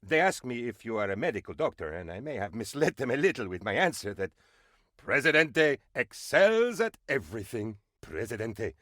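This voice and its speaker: An eager, bootlicking presidential aide from a comedy video game. His delivery is theatrical and very animated, swinging up and down as he flatters his leader. The recording is dry and close. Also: medium pitch, normal speed, dry recording. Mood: proud, excited. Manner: obsequious, excitable, melodramatic. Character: eager fawning male presidential aide in a Caribbean republic. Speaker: game advisor character.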